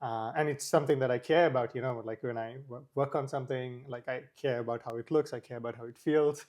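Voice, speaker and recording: Young adult male guest from Sri Lanka, loose and conversational, mid-range pitch. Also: fast. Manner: relaxed, many fillers like "like" and "um". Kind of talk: casual interview chat.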